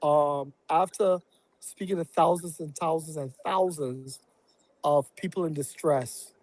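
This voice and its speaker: A man from Barbados, a guest on a podcast, talking through his plans in a reflective, halting way with many fillers. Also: a little high, poor audio. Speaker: real estate investor and guest. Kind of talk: casual podcast interview.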